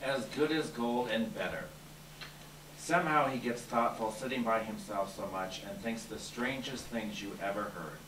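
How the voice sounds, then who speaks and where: A distant, echoey recording with loud noise carries a man acting a tender, proud working-class father in readers theater. He speaks earnestly and sentimentally, at a middling pitch. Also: moderate pace, natural voice. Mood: tender pride. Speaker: volunteer readers theater performer. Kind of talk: staged readers theater dialogue. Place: event room with audience, live reading.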